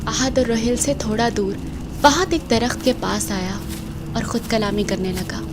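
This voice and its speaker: A woman's voice: fairly high pitch, unhurried. A woman reading scripted narration for a horror audio drama. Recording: noisy track with loud ambience.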